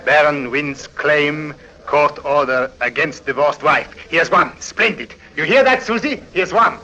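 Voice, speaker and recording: An actor playing a stiff, courteous German officer type with a German accent, formal and over-polite, in a 1950s radio drama. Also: male voice, on the high side, a little slow, bright, forceful tone, poor audio. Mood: triumphant.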